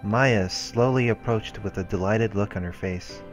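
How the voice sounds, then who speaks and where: A man's voice: on the low side, unhurried, faint music behind. A man hosting a let's play, reading a visual novel's narration aloud.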